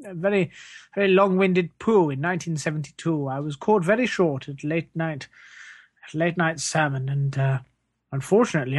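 A man's voice: fairly high pitch, dry recording, natural voice. Male performer as a British clergyman, blithely shameless and faintly menacing, evasive and waffling, for sketch comedy; dry sound. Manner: hesitant, evasive, rambling, falsely polite. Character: shifty, menacing, blithely shameless parish reverend.

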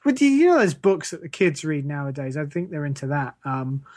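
A woman's voice: low voice, sing-song, fairly quick, a little mellow, dry recording, studio quality. A woman co-hosting a comedy podcast, chatting in a rambling, hesitant, faux-naive way. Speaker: podcast co-host. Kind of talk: casual comedy chat.

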